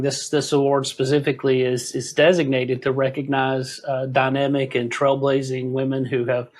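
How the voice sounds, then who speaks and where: A man hosting a conversational interview podcast, speaking in a halting, encouraging way. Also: medium pitch. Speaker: podcast host.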